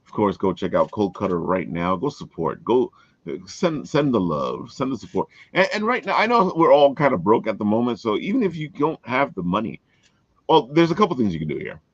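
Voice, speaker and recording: A man's voice: medium pitch, sing-song, brisk, slightly rough, no echo, highs cut off. A man hosting a casual promotional chat, talking in a folksy way and repeating phrases for emphasis. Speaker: show host.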